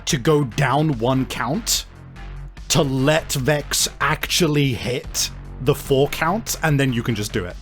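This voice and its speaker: A man acting as game master of a tabletop role-playing game, explaining rules in a deliberate, hesitant way. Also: medium pitch. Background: music.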